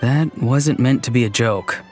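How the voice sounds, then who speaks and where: A man voices a playful young tease in a video game. His mid-pitched delivery is deadpan and mock-grand, mischief kept under a serious front, with soft music behind. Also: unhurried, close to an everyday voice. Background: faint game background music.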